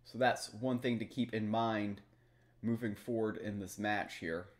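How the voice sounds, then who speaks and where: A man's voice: lowish voice, fairly even intonation, a little slow. A young adult man giving live, analytical commentary on a game as he plays, thinking aloud through each move. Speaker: streamer, card game player. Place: small room at home, indoors.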